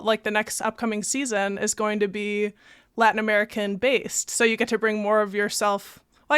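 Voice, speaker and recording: A woman hosts a relaxed podcast interview and laughs easily. Her voice is clear and a little high. It sounds close and dry, recorded in a studio. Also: dry recording. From Baraboo, Wisconsin. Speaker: podcast host. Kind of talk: casual interview conversation.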